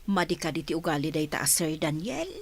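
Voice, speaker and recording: A woman's voice: deep voice, expressive, a little fast, husky, heavily acted. A woman with an Ilocano accent playing a caring, cautious middle-aged mother in a radio drama.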